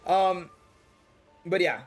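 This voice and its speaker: Higher-voiced streamer guy, shifting Indian English accent. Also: extremely slow. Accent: shifting Indian English with British and American touches. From Dubai and India. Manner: rambling, slangy, chatty. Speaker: live streamer. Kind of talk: casual livestream chat during gameplay. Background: music.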